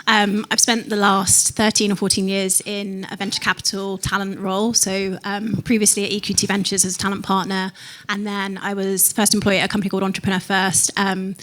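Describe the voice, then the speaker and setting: A woman's voice: medium pitch, fairly bright tone. A woman from London introducing herself as a panelist, composed and matter-of-fact.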